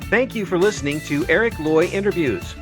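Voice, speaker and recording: A man hosting a casual interview, chatty and quick to laugh, often trailing off and restarting his sentences. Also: on the high side, animated, over music. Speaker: interview host.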